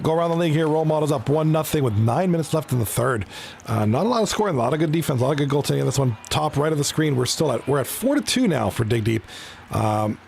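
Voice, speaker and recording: A man's voice: mid-range voice, creaky, darkish tone, a little hiss or hum. A man from Toronto giving casual live commentary on an esports hockey league, chatting with his viewers between plays. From Canada (Toronto).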